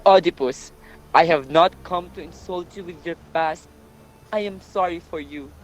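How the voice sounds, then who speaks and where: A man's voice: on the high side, moderate pace, slight background noise, lightly acted, rough recording. A man playing a grave messenger of doom in a readers' theatre Greek tragedy, with dramatic, emphatic delivery. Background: music.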